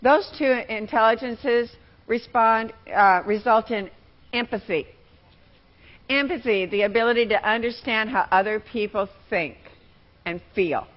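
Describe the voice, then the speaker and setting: A woman giving a teaching talk as a workshop trainer, with deliberate, emphatic phrasing. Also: on the high side, highs cut off.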